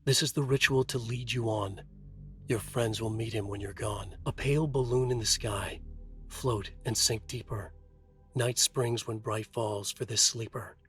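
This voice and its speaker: An actor playing a haunted, trapped writer, narrating his nightmare in a moody, deliberate noir style for a video game. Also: mid-range voice, steady tone, faint music behind, natural voice. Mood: solemn, incantatory.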